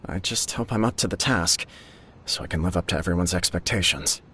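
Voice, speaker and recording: Dutiful young samurai lord for a game: lowish voice, humble, formal, faint rumble behind. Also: male voice, brisk, pushed and bright. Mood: humble, earnest. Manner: formal, modest, earnest. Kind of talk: scripted video game dialogue.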